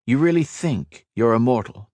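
A man's voice: mid-range voice, animated, normal speed, darkish tone, dry recording, clean studio sound, voice pushed up, lightly acted. A man voicing a defiant young hero who answers bluntly and challenges his enemy.

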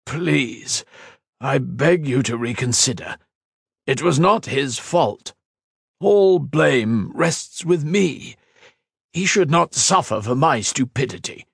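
A frantic male scientist begging for mercy, as a man puts him on for a storybook reading. He pushes his voice up high and strained. The delivery is slow and swings widely in pitch, and it sounds dry and close. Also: animated, vocal fry, breathy edge, dry recording, exaggerated voice. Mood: pleading. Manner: pleading, strained, rising to outbursts. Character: anguished male scientist pleading for his creation.

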